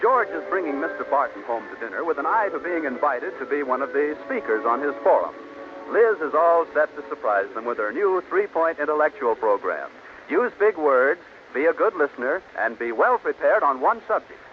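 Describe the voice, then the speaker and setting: Animated male old-time radio announcer. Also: fairly high pitch, moderate pace, over music. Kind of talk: scripted 1940s radio narration. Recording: tinny, hissy old radio broadcast.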